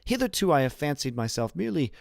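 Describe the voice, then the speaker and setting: A man's voice: fairly high pitch, very animated, vocal fry, dry recording, voice pushed up. Guy reading a wise old priest prisoner from a classic novel, formal n patient, explains things like a teacher, dry close sound. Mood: earnest, lecturing. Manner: deliberate, didactic, formal. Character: learned, patient, principled old abbé imprisoned for years. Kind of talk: audiobook character reading.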